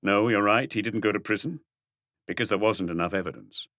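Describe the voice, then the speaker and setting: A man voicing a calm, ironic police inspector who questions in an unhurried, probing way in a mystery audiobook. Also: lowish voice, no echo, clean studio sound.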